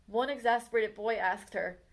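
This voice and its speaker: A woman librarian reading a picture book biography aloud for children, with expressive storytime delivery that slows down on exciting moments. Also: fairly high pitch, vocal fry.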